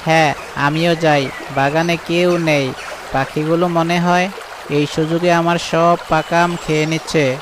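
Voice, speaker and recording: Man voicing a highish-pitched, chatty cartoon jackal. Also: flat delivery, a little slow, background noise, natural voice. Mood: cautious, chatty. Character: friendly, hardworking male jackal orchard keeper. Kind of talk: cartoon voice acting.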